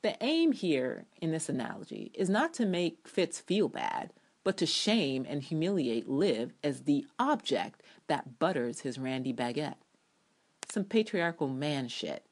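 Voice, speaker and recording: Low-voiced woman, emphatic dramatic essay reading. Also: big pitch swings, measured pace. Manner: emphatic, theatrical, sardonic. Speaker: TV drama blogger reading own review aloud.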